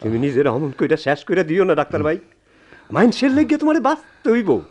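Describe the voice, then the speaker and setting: Urgent, high-pitched, swooping village man. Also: sing-song, fairly quick, darkish tone. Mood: alarmed, pleading. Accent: rural Bangladeshi dialect. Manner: urgent, pleading. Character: loyal, alarmed village man, earnest helper. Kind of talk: radio drama acting.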